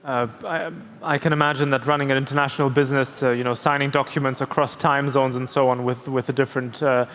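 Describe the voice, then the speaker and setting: A man moderates a panel in a mid-pitched voice. His phrasing is halting and hesitant, and the hall around him rings with reverb. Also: level tone, a bit bright, a little hiss or hum. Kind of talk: conversational moderating. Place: large summit hall on stage.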